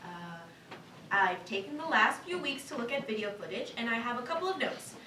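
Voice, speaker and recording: A woman's voice: on the high side, animated, fairly quick, bright, forceful tone. A woman playing an earnest, polite but firm new park manager in a staged cartoon reading. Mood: earnest, nervous. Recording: echoey room, distant mic, faint noise.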